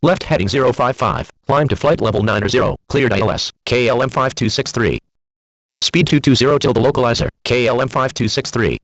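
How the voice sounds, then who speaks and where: A man's voice: medium pitch, a little fast, dry recording. A man speaking clipped aviation phraseology over an air traffic radio transmission. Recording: dull, band-limited air traffic radio.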